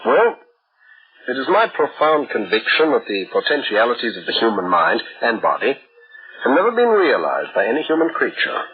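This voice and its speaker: An actor playing an opinionated, intense psychology teacher, lecturing pompously in an old-time radio drama. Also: male voice, medium pitch, wildly swooping pitch, moderate pace, slightly rough, phone-quality sound, full character voice. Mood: fervent, lecturing. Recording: thin, hissy old radio recording.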